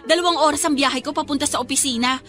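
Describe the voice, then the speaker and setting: A woman's voice: high-pitched, quick pace, a bit bright. An actress playing a responsible, exasperated young woman in a radio drama, reproachful and emphatic. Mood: indignant, reproachful.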